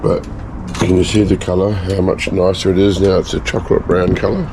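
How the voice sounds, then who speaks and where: Hoarse, low-pitched man, voice worn down by a cough; casual, rambling home-lab narrator. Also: level tone, fairly quick, background noise. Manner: chatty, rambling, self-mocking asides. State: hoarse, losing his voice from a cough. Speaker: hobbyist gold refiner and video maker. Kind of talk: casual talk-through of a lab process.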